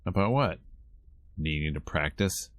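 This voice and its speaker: A man's voice: very deep voice, animated, normal speed, a bit bright, no echo, studio quality. A man voicing an awkward, sarcastic teenage boy talking to an old friend in a visual novel.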